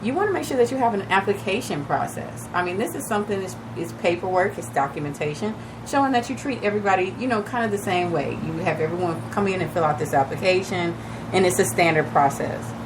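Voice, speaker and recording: Raspy-voiced woman hosting a radio show. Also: on the low side, animated, quick pace, background noise. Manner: chatty, advising listeners directly with many fillers. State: recovering from lost voice, a little shaky. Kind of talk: casual radio talk on real estate. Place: radio studio.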